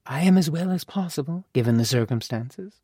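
A woman's voice: low-pitched, animated, a little fast, warm tone, no room sound, studio quality. An audiobook narrator voicing a fond, wry older mother, a formal and imperious woman who teases as she talks. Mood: gentle, composed. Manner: formal, crisp, teasing phrasing. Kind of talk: audiobook character dialogue.

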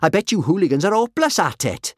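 A man's voice: sits high, sing-song, fast talker, no echo, studio quality, voice pushed up. A man voicing a very small, cheery, swaggering Viking girl in a children's audiobook, taunting cockily.

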